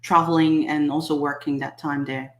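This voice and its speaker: A woman in her thirties with a Hong Kong accent, a native Cantonese speaker telling her personal story in a soft, measured way as an interview guest. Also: deep voice, flat delivery, roomy sound. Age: 30s. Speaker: guest, subconscious healing specialist.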